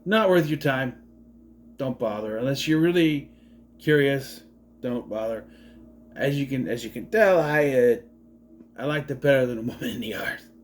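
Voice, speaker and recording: A man's voice: mid-range voice, normal speed, long pauses, slight background noise. A man in his late thirties giving a casual movie review, rambling, swearing freely and wandering off on tangents. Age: 30s.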